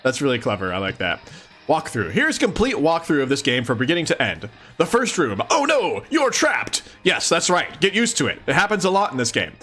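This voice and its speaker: A man's voice: fairly high pitch, wildly swooping pitch, a little fast, sharp tone, slightly rough, soft background music. A man doing a casual live read-along of a comic, reading expressively and adding mock-incredulous asides. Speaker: streamer reading a comic aloud.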